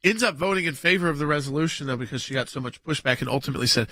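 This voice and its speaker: A man's voice: on the high side, rapid-fire, bright, forceful tone, dry recording. A man hosting a political talk radio show, chatting casually with his co-host and reading out names. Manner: conversational, banters with co-host, reads out lists.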